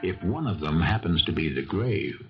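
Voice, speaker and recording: A man hosting a 1970s radio mystery, narrating a script in a wry, deliberate, theatrical way. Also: deep voice, expressive, over loud music. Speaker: mystery show host. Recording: dull old radio broadcast tape.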